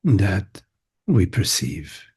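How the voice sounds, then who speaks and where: A man leading a guided meditation as a teacher, speaking in short contemplative phrases with long pauses. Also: deep voice, big pitch swings, a little mellow, husky. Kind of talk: guided meditation, spoken softly to listeners.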